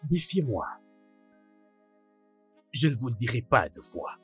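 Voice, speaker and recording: A man's voice: medium pitch, big pitch swings, takes it slowly, breathy edge, faint music behind, heavily acted. An actor playing a stern, menacing middle-aged father, domineering and confrontational, in a dubbed melodrama. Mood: furious, threatening.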